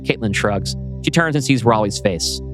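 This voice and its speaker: A man's voice: lowish voice, animated, moderate pace. A man narrating a screenplay dramatically as its writer, presenting stage directions and scene changes. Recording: music playing behind voice.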